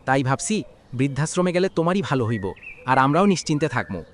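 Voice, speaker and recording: An actor playing a grown son in a cartoon, persuasive and self-justifying, with a Bangladeshi Bengali accent. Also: male voice, mid-range voice, very animated, fast, lightly acted. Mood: coaxing. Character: grown son coaxing his father to leave home. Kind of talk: cartoon voice acting.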